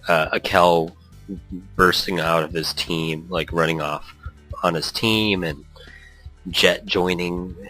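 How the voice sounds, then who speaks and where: A man's voice: sits low, steady tone, slow pace, pauses often, pushed and bright, low-quality recording. A man giving casual, chatty let's play commentary that drifts off on tangents. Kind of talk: casual, rambling game commentary with read-aloud dialogue. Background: music.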